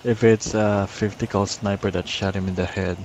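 A man with a fairly low voice talks casually in character in an online roleplay game. His intonation is very flat, and light noise runs through the voice chat. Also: normal speed. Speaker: roleplay gamer playing a survivor. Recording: noisy gaming voice chat.

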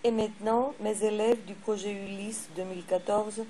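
Woman teacher, warm dark tone. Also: medium pitch, steady tone, moderate pace, rough recording. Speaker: French teacher. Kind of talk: brief formal class introduction.

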